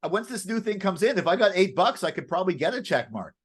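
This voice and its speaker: High-voiced, rambling man. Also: big pitch swings, slightly rough. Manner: halting, rambling, self-deprecating. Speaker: co-host. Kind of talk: casual, unscripted chat.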